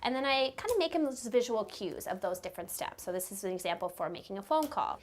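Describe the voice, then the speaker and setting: A woman's voice: medium pitch, lively intonation, fast talker, slight background noise. A woman working as a therapist, coaching in simple steps and explaining, encouraging and often checking understanding with questions. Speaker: behaviour therapist teaching a child.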